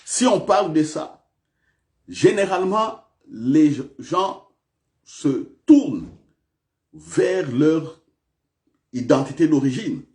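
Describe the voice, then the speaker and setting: A man's voice: on the high side, expressive, slow pace, long pauses, darkish tone. A male pastor preaching a sermon in a church, repeating questions to the congregation with big swings of emphasis.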